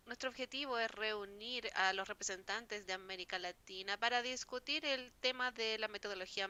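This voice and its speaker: A woman's voice: medium pitch, pushed and bright, smooth. A woman moderating a conference panel, delivering prepared remarks formally with occasional slips.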